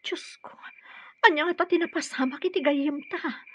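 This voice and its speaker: An actress with a Filipino accent playing a concerned, inquisitive wife and loyal friend in a radio drama. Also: female voice, high-pitched, normal speed, fairly bright tone. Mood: worried.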